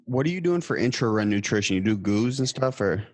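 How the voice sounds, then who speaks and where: A man's voice: fairly low pitch, animated, brisk, a little mellow, no echo. A man interviewing a guest in a casual, bro-ish podcast chat.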